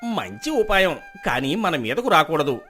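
A man acts out a crafty, miserly village husband from a storybook. His voice is fairly high and swoops with theatrical, emphatic false devotion. Faint music plays underneath. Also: very animated, a little slow, pushed and bright, exaggerated voice. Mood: nervous scheming.

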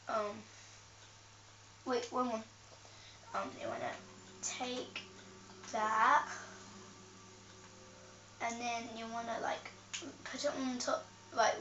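A young woman talking through a game tutorial, hesitant with many ums and self-corrections, heard from a camera filming a TV. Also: on the high side. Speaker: gameplay tutorial host. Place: room with a TV, off camera. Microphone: camera filming the TV screen. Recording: echoey, distant, dull, noisy camera audio.

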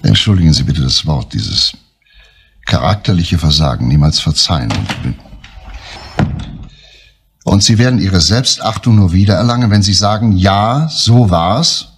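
An actor playing a composed, earnest police inspector in a German crime radio drama, speaking deliberately and insistently. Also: male voice, low-pitched, lively intonation, husky, exaggerated voice.

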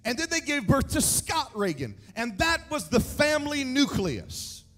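A man, preaching an energetic sermon with a handheld mic on a large church stage, emphatic and shouting key points. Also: very high-pitched, unhurried, soft background music. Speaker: pastor. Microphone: handheld microphone. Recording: echoey hall, mic set back.

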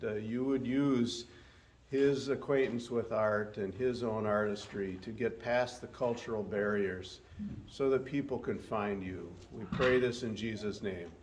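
An older male pastor leading a measured, reverent spoken prayer in a church sanctuary. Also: mid-range voice, a little mellow, a little hiss or hum. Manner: measured, reverent, with pauses and uh's.

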